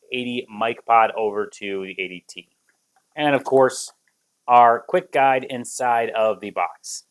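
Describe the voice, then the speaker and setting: A man, hosting a casual product review in an upbeat, conversational manner. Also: medium pitch. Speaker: tech review video host.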